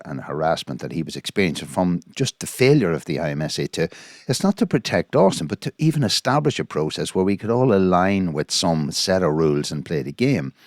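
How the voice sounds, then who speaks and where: Very low-pitched indignant Irish man. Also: no room sound, clean studio sound. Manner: indignant, emphatic, repetitive. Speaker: commentator analysing a recorded phone call. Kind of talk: informal spoken analysis.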